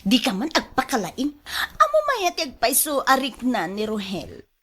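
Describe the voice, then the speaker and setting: A woman's voice: fairly high pitch, big pitch swings, measured pace, heavy background noise. A woman playing a clingy, petulant young mistress in a radio drama, whining and wheedling with an Ilocano accent. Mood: lovestruck, pouting.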